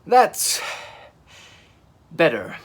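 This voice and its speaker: A man voicing a heroic, earnest, excitable young male dinosaur captain from a children's storybook, with emphatic, dramatic delivery. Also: very slow. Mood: relieved, excited. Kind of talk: children's storybook character reading.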